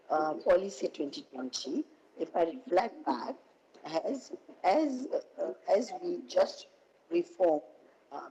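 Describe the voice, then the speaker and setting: A woman with a husky voice, hoarse from a cold, giving a halting academic keynote over an online call. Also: low-pitched, a little mellow, low-quality recording. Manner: halting, with many fillers and restarts. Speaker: keynote speaker.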